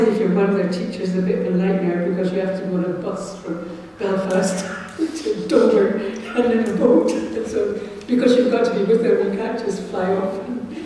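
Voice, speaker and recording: A woman's voice: lowish voice, warm tone, distant mic, a little hiss or hum. A woman, a former teacher, telling a rambling anecdote with many ums during a seminar discussion. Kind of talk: discussion comment. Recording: echoey hall, recorded from afar.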